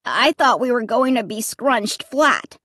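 A timid, solemn little boy in a children's storybook , played by a woman. He speaks slowly and hesitantly, subdued and full of doubt, in a dry recording. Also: on the high side, a bit bright, no echo. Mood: shaken.

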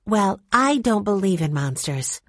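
A woman's voice: mid-range voice, sing-song, a little slow, a bit bright, no room sound, clean studio sound, exaggerated voice. A woman voicing a sensible older sister who speaks firmly and directly. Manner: firm, direct.

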